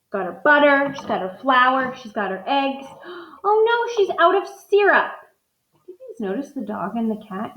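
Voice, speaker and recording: Woman teacher, read-aloud lesson, talks through her inferences; higher voice, roomy echo, muffled sound. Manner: thinks aloud, modeling inferences for students.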